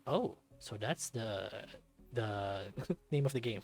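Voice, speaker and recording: A medium-pitched voice reads a visual novel aloud as a dramatic narrator. Music plays behind the reading. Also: expressive, takes it slowly, a little mellow. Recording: background music under the voice. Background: game music.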